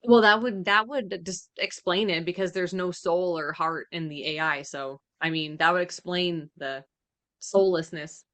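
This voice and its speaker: A woman co-hosting a reaction podcast, chatting casually with an animated, blunt, opinionated manner. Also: fairly low pitch, measured pace, dry recording. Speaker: podcast co-host.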